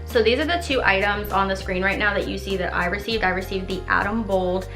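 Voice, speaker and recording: A woman's voice: mid-range voice, a little fast, fairly bright tone, roomy sound, soft background music. A young woman chatting through a makeup tutorial, rambling and playful, a little congested and nasal. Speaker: beauty vlogger. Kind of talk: casual makeup tutorial chat.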